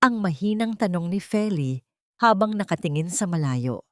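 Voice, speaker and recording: Filipino lady reading a dramatic audiobook story, lowish voice, really dry close sound. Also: lively intonation, moderate pace, darkish tone, dry recording. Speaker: audiobook narrator.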